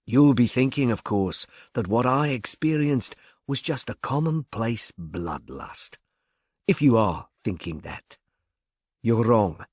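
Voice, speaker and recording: A man's voice: medium pitch, animated, takes it slowly, darkish tone, dry recording, clean studio sound. A man voicing a smug, insane murderer who confesses in pompous, precise, wordy language, as in an audiobook. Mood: smug, composed. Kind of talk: audiobook first-person confession.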